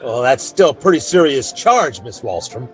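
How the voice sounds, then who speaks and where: Man acting plainspoken Western marshal, lively pitch. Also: normal speed, soft background music, natural voice. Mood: stern, measured. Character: male frontier marshal, plainspoken, authoritative, fair-minded. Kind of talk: old-time radio western acting.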